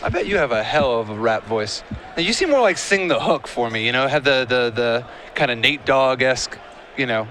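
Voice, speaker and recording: A man's voice: mid-range voice, expressive, brisk, bright, forceful tone, a little hiss or hum. A man doing live game commentary, casual and joking, chatting with his co-host between plays. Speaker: color commentator. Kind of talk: live tournament commentary with banter.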